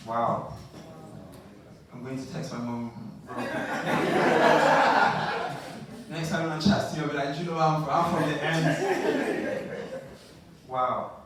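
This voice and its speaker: A man's voice: fairly high pitch, lively intonation, slow, pauses often. Laid-back male host, chatty, very echoey hall, noisy distant mic. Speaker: event host. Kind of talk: live event hosting. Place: large indoor event hall.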